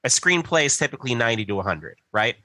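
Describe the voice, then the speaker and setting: A man's voice: mid-range voice, very animated, fast talker, sharp tone. A man co-hosting a podcast, explaining his view conversationally and often checking in with the listener. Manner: explanatory, checks in with "right" and "you know". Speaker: podcast co-host. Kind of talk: casual explanatory discussion.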